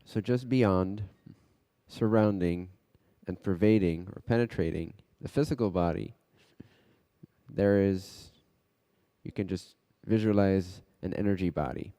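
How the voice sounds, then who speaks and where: A man with a mellow, fairly low voice guides a meditation in a long, reverberant space. He speaks in short phrases and leaves pauses between them, unhurried as a teacher leading students inward.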